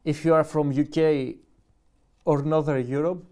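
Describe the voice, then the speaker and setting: A man's voice: a little high, smooth. Greek-accented man unboxing music gear. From Greece. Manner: relaxed, halting, restarts and self-corrections while reading. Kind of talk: casual live-stream unboxing, reading a manual aloud.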